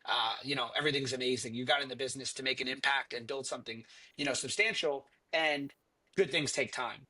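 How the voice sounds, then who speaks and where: A man's voice: medium pitch, fairly even intonation, fairly quick, pushed and bright, breathy edge. A middle-aged man, a company chief executive, answering on a business panel in an earnest, conversational way with frequent fillers and restarts. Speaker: franchise company CEO.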